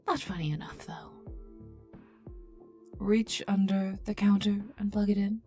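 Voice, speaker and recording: A woman voicing a cold, menacing female poisoner agent, mocking and condescending, in an ASMR roleplay with breathy murmurs. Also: mid-range voice, steady tone, dark tone, soft background music, natural voice. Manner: slow, taunting, condescending, often dropping to a breathy murmur.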